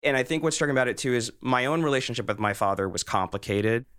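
A man's voice: medium pitch, fairly even intonation, fast, a bit bright, clear, resonant tone. A man hosting an interview podcast, speaking in an earnest, thoughtful, articulate way in reflective, personal conversation.

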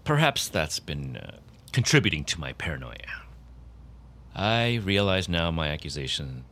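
An actor playing a smug, condescending male boss in an audio drama, suspicious and self-absorbed, with a halting way of talking. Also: a bass voice, sing-song, pushed and bright, heavily acted. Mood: loosening up. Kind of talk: naturalistic audio drama acting.

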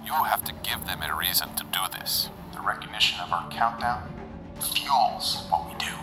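A man acts a solemn thinker reflecting on humanity in a sci-fi drama. His fairly low, hushed voice carries weight and echoes over music. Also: animated, moderate pace, lightly acted. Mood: solemn, reflective. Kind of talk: dramatic science fiction trailer monologue.

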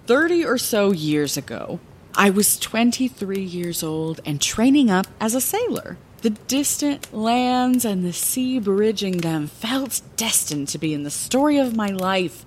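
A woman's voice: medium pitch, a little hiss or hum. An actress playing a fond, playful middle-aged woman lighthouse keeper, telling her past as a theatrical bedtime story in a fantasy audio drama. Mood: wistful, vivid. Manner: theatrical, wordy, chatty asides.

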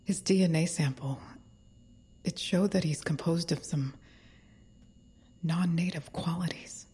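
Skeptical woman, deep for a female voice, very breathy husk, analytical, audio drama. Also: measured pace, dark tone. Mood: suspicious, hushed.

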